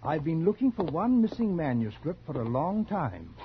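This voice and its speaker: Casting: genteel scholar-blackmailer, vintage radio thriller, highish lilting voice, polite menace, muffled old recording. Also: male voice, big pitch swings, normal speed. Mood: scholarly, deliberate. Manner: polite, precise, insinuating. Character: scholarly male collector, genteel, sly, quietly menacing blackmailer. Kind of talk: 1940s radio drama acting. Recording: dull, slightly noisy 1940s radio recording.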